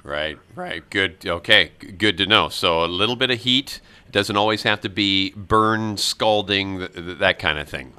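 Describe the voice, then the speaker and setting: A man hosting a morning radio show, interviewing a guest with a halting delivery full of "uh" and stammered restarts. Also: low voice, pushed and bright, clear, resonant tone. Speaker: radio host.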